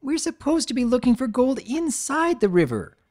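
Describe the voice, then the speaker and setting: A woman voicing a little girl pony who squeaks and whines in a high, excitable voice. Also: normal speed. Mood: eager. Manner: high-pitched squeaking and whining.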